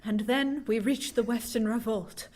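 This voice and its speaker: A woman's voice: on the high side, steady tone, a little slow, a little mellow. An actress playing an earnest, unsettled young woman, a devoted friend, with dramatic delivery in a vintage radio horror drama. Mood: haunted narrating.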